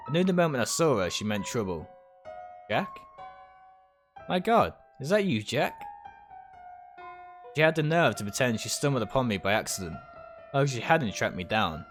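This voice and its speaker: A man streams a visual novel, reading the narration aloud with drama. His medium-pitched voice moves around a lot and sometimes trips on a phrase, with faint music underneath. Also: a bit bright. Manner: stumbles over words and rereads them.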